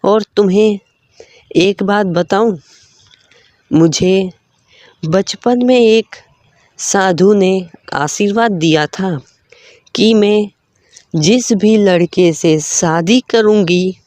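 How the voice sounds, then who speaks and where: A storyteller voicing an earnest, gentle young woman in love, a landlord's daughter in a cartoon moral story. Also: mid-range voice, extremely slow, long pauses, no room sound. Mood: earnest, reassuring.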